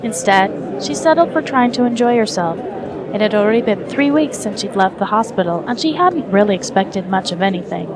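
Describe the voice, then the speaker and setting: A woman's voice: medium pitch, animated, fairly quick, crowd noise. A woman reading scripted story narration as the narrator of an audio drama.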